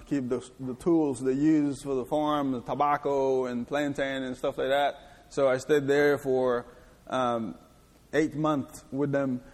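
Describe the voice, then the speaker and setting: Man, from Haiti, slightly high voice, halting, restarts often, long hall echo. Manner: halting, with frequent restarts and laughs while telling hardships. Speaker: interview guest. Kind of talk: personal testimony in a church interview. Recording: reverberant hall.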